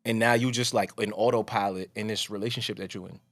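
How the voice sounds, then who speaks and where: A man's voice: on the low side, fairly even intonation, fast, fairly bright tone. A man hosting a podcast, talking casually with a strong voice, rambling and restarting his sentences. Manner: loose, rambling, with many restarts. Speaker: podcast host. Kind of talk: casual conversation.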